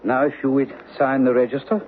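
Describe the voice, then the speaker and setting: An actor playing an obliging, watchful hotel concierge in a radio drama, courteous and deferential with small hesitations. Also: male voice, medium pitch, little pitch movement, brisk, slight background noise, natural voice. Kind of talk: old-time radio drama acting. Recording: tinny, narrow-band radio broadcast.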